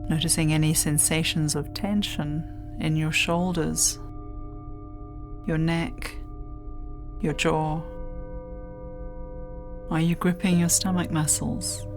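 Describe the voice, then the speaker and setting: A woman guides a meditation in a low voice, gentle and soothing. She leaves long, unhurried pauses between phrases. Music plays clearly behind her. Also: little pitch movement.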